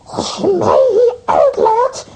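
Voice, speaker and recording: Shrill, creaky little witch. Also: huge pitch swings, warm tone, heavily acted. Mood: flattering, wheedling. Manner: slow, drawn-out, theatrical wheedling. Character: sly flattering tiny old witch who shapeshifts into a fly. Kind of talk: Flemish children's audio drama acting.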